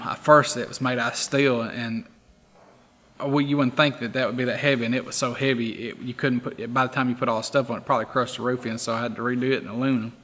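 A man in his forties, a car museum owner, talking in a relaxed, rambling way with many fillers in a documentary interview. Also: mid-range voice, in a large hall. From Tennessee. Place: garage workshop full of memorabilia.

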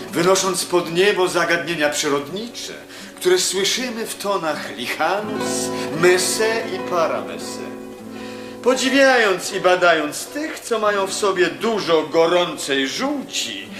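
An actor playing a flattering male court magus who talks in a singsong, fawning way in a radio play. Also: sits high, reverberant.

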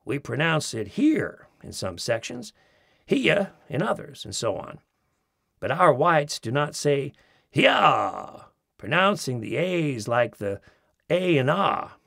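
Gravelly-voiced man reading wryly. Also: medium pitch, big pitch swings, takes it slowly, fairly bright tone, no room sound. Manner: mimics accents in quoted phrases, dry wit. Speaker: audiobook narrator. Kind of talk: expressive reading of a humorous essay. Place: studio. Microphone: close studio mic.

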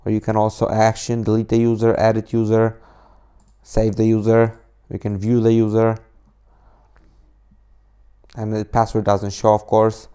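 A man's voice: on the low side, monotone, a little slow, pauses often, warm tone, clear, resonant tone. A man in his twenties from Poland, Polish native, hosting a casual coding tutorial over a screen recording, rambling with many restarts and fillers into a cheap desk microphone. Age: 20s. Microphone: cheap desk microphone on a laptop.

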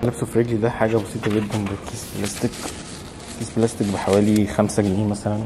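Casting: Egyptian-accented male travel vlogger, twenties, very quick delivery, slightly low pitch, casual and emphatic. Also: little pitch movement, noisy background. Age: 20s. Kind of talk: casual vlog chat to camera. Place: old stone mosque, arcades and open rooftop. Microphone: camera held at arm's length.

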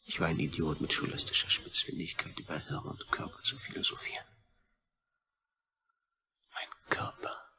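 An actor playing an anguished astronaut reduced to a brain, brooding in an intense, gravelly, often near-whispered radio drama monologue. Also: male voice, very deep voice, flat delivery, normal speed, pauses often, bright, forceful tone, heavily acted. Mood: bitter, brooding. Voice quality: husky, gravelly.

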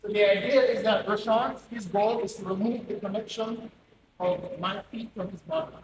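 A man's voice: sits high, steady tone, normal speed, distant mic. A man coaching a jiu-jitsu class, explaining positions step by step in a large indoor gym. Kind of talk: instructing a class. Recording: echoey gym with background noise.

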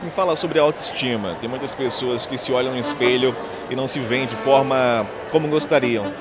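A man's voice: medium pitch, a little fast, a loud crowd, very noisy. A Brazilian man working as a TV reporter, asking conversational interview questions. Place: indoor event venue. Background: music.

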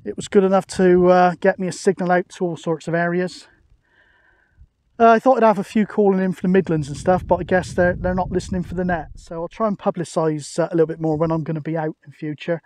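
High-pitched British man, chatty and informal. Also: fairly even intonation, fast talker, a little mellow, no room sound. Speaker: CB radio hobbyist and video maker. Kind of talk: casual outdoor vlog and CB radio chat. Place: outdoors on an open hilltop.

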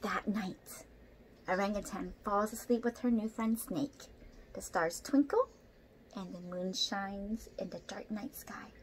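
A woman's voice: medium pitch, measured pace. Young woman reading a storybook, noisy recording. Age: young adult. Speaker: storyteller reading a picture book to children. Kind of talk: expressive read-aloud storytelling with asides to young listeners. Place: small indoor room at home. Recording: noisy home room recording.

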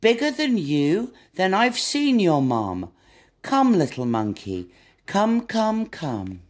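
A woman's voice: medium pitch, very animated, takes it slowly, darkish tone. A woman reading a storybook aloud as a helpful, confident but muddled butterfly guide. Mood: soothing.

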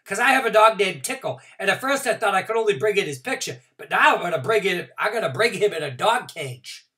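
A woman voicing a loud, excitable kindergarten girl who shouts and chatters breathlessly. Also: medium pitch, moderate pace, recorded from a distance, pitched above the performer's own voice. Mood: earnest chatter. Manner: shrieking, bouncy, breathless exclamations.

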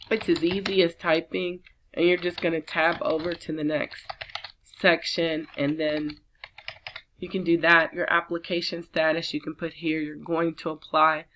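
A woman with a slightly raspy voice from a cold, chatting casually as she walks viewers through a job listing. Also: sits low, a bit bright. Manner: chatty, repetitive, encouraging viewers. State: under the weather, slightly raspy. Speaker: work-from-home job channel host. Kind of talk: casual screen-share walkthrough.

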